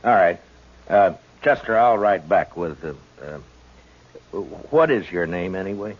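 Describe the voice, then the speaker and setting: An American man playing a steady, dryly good-humored U.S. marshal in a radio western, plain-spoken and easygoing. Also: low voice, slow pace, exaggerated voice. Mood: concerned, businesslike. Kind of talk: 1950s radio western acting and narration. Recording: dull, hissy vintage radio recording.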